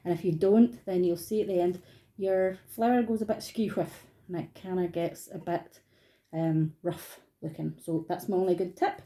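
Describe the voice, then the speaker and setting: A woman's voice: on the low side, fairly even intonation, moderate pace, warm tone. Chatty Scottish woman teaching crafts. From Scotland. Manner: chatty, encouraging, informal. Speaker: art workshop tutor. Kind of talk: online craft tutorial. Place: dining room at home.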